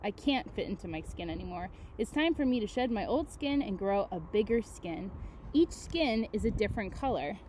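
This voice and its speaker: A woman's voice: mid-range voice, expressive, normal speed, darkish tone, slight background noise. A woman teacher reading a picture book aloud to children, expressively, with chatty asides and questions to her young listeners.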